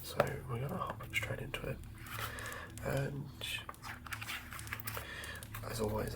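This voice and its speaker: A man doing improvised ASMR in a breathy whisper, unhurriedly murmuring to himself as he works. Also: medium pitch, poor audio. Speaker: ASMR host.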